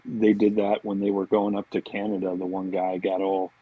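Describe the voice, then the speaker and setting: A man's voice: sits low, little pitch movement, normal speed, slightly rough, no room sound, phone-quality sound. A man chatting casually as a podcast guest, talking in an informal, laid-back buddy manner. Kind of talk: casual chat.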